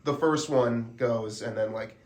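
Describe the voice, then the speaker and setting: Young podcast host in an echoey room. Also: male voice, mid-range voice, unhurried, a little mellow. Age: young adult. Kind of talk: casual podcast interviewing. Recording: roomy echo.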